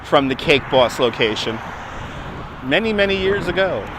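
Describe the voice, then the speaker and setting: A man's voice: fairly high pitch, expressive, fairly bright tone, a little hiss or hum, low-quality recording. A man with a New York accent, chatting casually with viewers during a live walking stream outdoors on a waterfront, recorded on a phone on a gimbal. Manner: chatty, answering viewers, quick laughs. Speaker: livestream host. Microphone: phone on a handheld gimbal.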